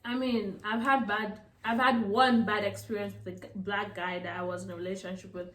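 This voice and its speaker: A young woman from Nigeria chatting with friends, talking in a measured, thoughtful way with hedges. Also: mid-range voice, level tone, fairly bright tone. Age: young adult. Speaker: guest friend. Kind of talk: casual chat. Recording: echoey room.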